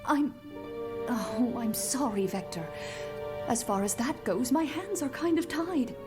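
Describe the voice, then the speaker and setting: An actress playing a composed female professor and agent in an audio drama, measured and earnest in manner. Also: a little high, lively intonation. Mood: earnest, apologetic. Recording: music behind the voice.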